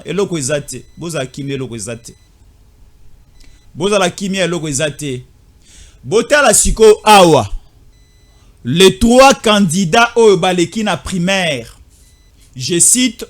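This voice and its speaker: A man with a Congolese accent hosting a political talk show, repeating short phrases for emphasis. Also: sits high. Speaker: host.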